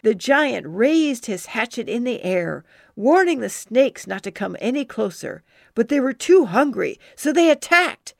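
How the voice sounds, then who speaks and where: Defiant little girl, emphatic, gravelly edge, woman narrator voicing her. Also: a little high, very slow, hardly any pauses, no room sound, clean studio sound, pitched above the performer's own voice, exaggerated voice. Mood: spirited. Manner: excited and emphatic. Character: bold young girl storyteller, defiant.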